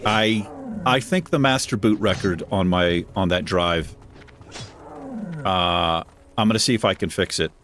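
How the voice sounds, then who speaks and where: A man with a deep voice hosting a gaming channel, chatting casually and self-deprecatingly while he plays. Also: a little slow, long pauses, a bit bright. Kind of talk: casual gameplay commentary. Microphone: desk microphone.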